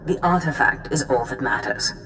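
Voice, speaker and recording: Low-voiced, scheming Sith mistress. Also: female voice, moderate pace, creaky, background noise. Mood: coolly calculating. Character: scheming Sith master, composed imperious woman. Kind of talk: scripted game dialogue.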